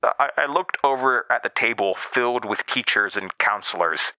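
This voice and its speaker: An actor playing a haunted man who recounts frightening childhood memories in halting, uneasy phrases for a horror audio drama. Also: mid-range voice, pushed and bright, no room sound, poor audio. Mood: anxious, brooding. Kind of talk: first-person horror audio drama narration.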